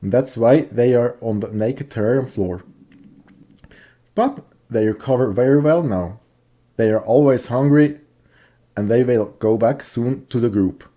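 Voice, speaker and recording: A man's voice: medium pitch, mellow. A man with a Swiss accent who is not a native English speaker, giving a careful, scripted voice-over tour of his gecko setup. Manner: careful, plain delivery with some halting phrasing. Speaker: hobby gecko keeper and maker.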